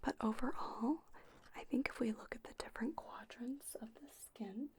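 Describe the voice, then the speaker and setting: Soft whispering woman, gentle ASMR roleplay. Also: on the high side. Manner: gentle, unhurried, reassuring. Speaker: ASMR performer playing a spa owner. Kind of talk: ASMR roleplay, instructing a trainee.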